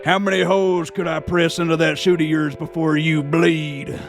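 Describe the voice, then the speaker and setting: A man's voice: fairly high pitch, little pitch movement, normal speed, soft background music, lightly acted. A man voicing a vengeful gunslinger in his forties, theatrical and menacing, with an in a video game. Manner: theatrical, grandiose.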